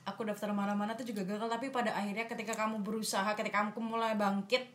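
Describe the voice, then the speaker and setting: A woman's voice: mid-range voice, little pitch movement, rapid-fire, a bit bright. Young woman host in an echoey room. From Magelang, Central Java. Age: young adult. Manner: encouraging, prompts the others with questions. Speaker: podcast host, university student. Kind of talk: casual chat.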